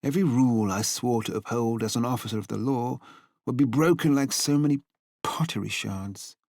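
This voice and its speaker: An actor playing a grandiloquent, paternal older policeman with flowery wording and dramatic pauses in an audiobook drama. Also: mid-range voice, a little mellow, no room sound, studio quality, close to an everyday voice. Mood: grave, theatrical. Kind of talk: full-cast audiobook acting.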